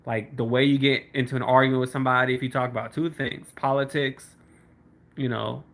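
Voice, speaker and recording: A man's voice: mid-range voice, steady tone, brisk, gravelly, a bit bright. A man in his late twenties hosting a casual livestream, chatting with viewers by name and laughing often. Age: 20s. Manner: laid-back, laughs often, talks to chat by name. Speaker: livestream host. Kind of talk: casual livestream chat reading comments.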